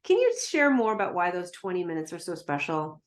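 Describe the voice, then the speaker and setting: A woman from Canada hosts an interview podcast in a fairly low voice. She is eager and effusive, with gushing warmth toward her guest. She keeps a chatty, conversational interview tone. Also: very animated, a little fast. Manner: enthusiastic, effusive. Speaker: podcast host. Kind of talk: interview chat.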